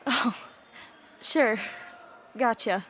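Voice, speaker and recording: A young woman playing an anxious, guilt-ridden teenage girl in an audio play, earnest, apologetic and quick to justify herself. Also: a little high, fairly bright tone, a little hiss or hum. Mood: polite, uneasy.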